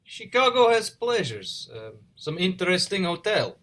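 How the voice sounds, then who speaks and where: Man acting eccentric immigrant inventor, highish swooping pitch, courteous, clipped, period stage drama. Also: big pitch swings, a little slow, fairly bright tone, exaggerated voice. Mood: earnest, reserved. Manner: clipped phrasing with dropped articles, courteous. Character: eccentric, formal immigrant male scientist, earnest and awkward. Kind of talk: play reading, period drama. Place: indoors, remote online reading. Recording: video call.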